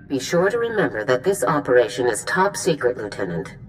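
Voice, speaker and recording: A woman's voice: extremely low pitch, big pitch swings, brisk, slight background noise, poor audio. A woman voicing a stern, formal, authoritative female military general in a video game. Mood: commanding. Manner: formal, commanding. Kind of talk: video game voice acting, mission briefing.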